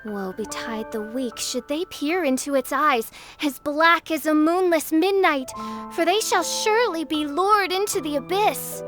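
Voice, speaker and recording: A woman's voice: high voice, a little slow, fairly bright tone, soft background music. A woman voicing a grandiose young self-proclaimed princess who speaks in theatrical, archaic phrases. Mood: haughty. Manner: theatrical, archaic and pompous speech. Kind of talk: video game voice acting.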